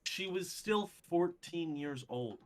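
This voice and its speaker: A man in a casual, opinionated discussion, speaking haltingly and repeating phrases for emphasis. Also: on the high side, lively intonation, a crowd in the background.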